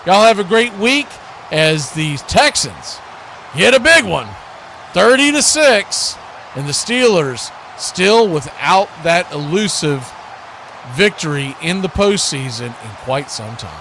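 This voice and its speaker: A man with a fairly high voice does play-by-play for a live football watch party. He talks in a folksy, conversational way, with clear background noise behind him. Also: big pitch swings, takes it slowly, sharp tone. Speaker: play-by-play host.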